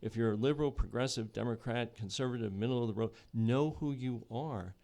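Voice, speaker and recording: A middle-aged man from New Jersey, talking in a casual interview in a measured way with many fillers and restarts. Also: medium pitch, mellow. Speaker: podcast guest, economic development board chair.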